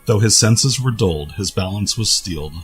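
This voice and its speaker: A man's voice: fairly low pitch, lively intonation, normal speed. A man narrating an action audio drama in a scripted storytelling style. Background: music.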